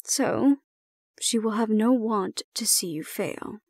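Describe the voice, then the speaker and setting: A woman playing a composed, stern female supervisor in an audio roleplay, speaking in a measured, formal and deliberate way. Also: fairly high pitch, a little mellow, no echo, clean studio sound. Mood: calm, formal. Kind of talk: scripted audio roleplay acting.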